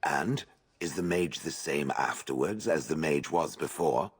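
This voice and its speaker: A man voicing a deadpan, dryly mocking stone golem in a video game, speaking with formal detachment. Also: extremely low pitch, a little slow, husky, full character voice. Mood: dry curiosity. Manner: formal, detached, dry wording.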